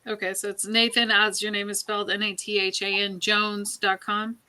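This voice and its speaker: A woman's voice: mid-range voice, level tone, normal speed, pushed and bright, smooth. A woman interviewer asking conversational questions, opening with an okay and restating details to confirm them. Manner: acknowledges with okay, then restates and checks details.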